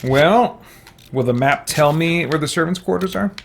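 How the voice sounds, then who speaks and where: A man's voice: a little high, normal speed, gravelly, a little hiss or hum. Man rambling casually through a game livestream. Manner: rambling, hesitant thinking aloud. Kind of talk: casual gameplay commentary with live chat.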